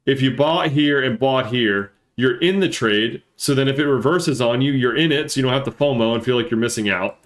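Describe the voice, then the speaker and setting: Guy w a stuffed up nose doing casual live trading commentary, medium pitch, repeats his points. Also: a little fast, hardly any pauses. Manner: explanatory, repeats points for emphasis. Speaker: live-stream host, market analyst.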